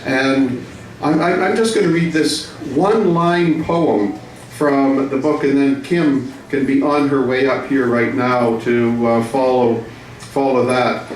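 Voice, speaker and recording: A man hosts a live gathering with informal introductions. He speaks very slowly and haltingly, with long pauses to find words. The sound is distant and heavily reverberant, with faint noise. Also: mid-range voice, steady tone. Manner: halting, frequent long hesitations. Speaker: book launch host. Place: indoor room at a live event. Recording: echoey room, distant mic, faint noise.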